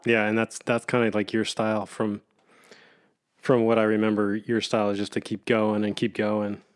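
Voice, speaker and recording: A man's voice: lowish voice, completely flat delivery, moderate pace, smooth. Easygoing male podcast host. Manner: easygoing, casual slang. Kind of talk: casual interview.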